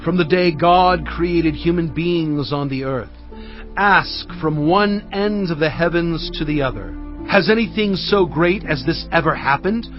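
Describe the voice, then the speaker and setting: A fervent prophet in a scripture audio drama. His higher-pitched voice preaches gravely and with measured emphasis. The sound is slightly dull, with music underneath. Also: no echo. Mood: earnest. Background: cinematic music.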